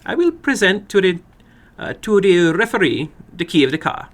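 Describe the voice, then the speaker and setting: A man voicing a funny, sinister little foreign gambler in a horror story, brisk and courteous, with precise, stilted non-native phrasing. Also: high-pitched, sing-song, voice pushed up. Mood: formal, businesslike. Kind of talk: dramatic horror story reading.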